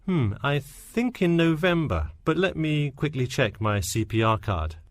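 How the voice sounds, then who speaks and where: A man's voice: fairly high pitch, very animated, measured pace, no echo. A man playing an eager, agreeable lifeguard applicant answering questions in a scripted interview. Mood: confident, agreeable. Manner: agreeable, casual, cooperative. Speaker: job applicant. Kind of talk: scripted listening-test dialogue.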